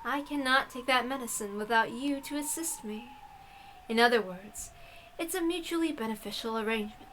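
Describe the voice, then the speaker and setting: An ancient witch of a visual novel, played by a woman, frail yet imperious. She speaks in a high, quiet voice with measured, formal phrasing and serene arrogance. There is faint noise behind her. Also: natural voice, poor audio. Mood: formal, courteous. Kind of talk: visual novel read-aloud.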